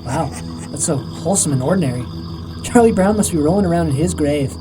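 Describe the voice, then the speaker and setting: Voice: mid-range voice, lively intonation, a little fast, close to an everyday voice, poor audio. A performer voicing a skeptical, sarcastic ghost sidekick in a comedic puppet show, with a dry, mocking manner. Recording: music under the voice.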